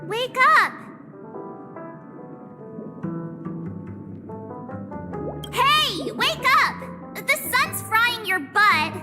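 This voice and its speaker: Game role: perky, caring young girl, very high voice, long reverb, music behind. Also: takes it slowly, heavily acted. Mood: urgent, worried. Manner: perky, expressive, chatty. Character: bubbly, caring young girl, excitable travelling companion. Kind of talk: English video game voice acting.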